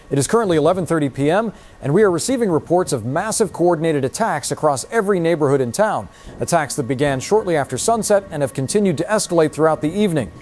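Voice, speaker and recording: A man playing a grave local TV newsman, delivering a formal, measured emergency bulletin in a scripted horror audio drama. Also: on the high side, darkish tone, close to an everyday voice. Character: grave male local TV newsman reporting catastrophe. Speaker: TV news reporter.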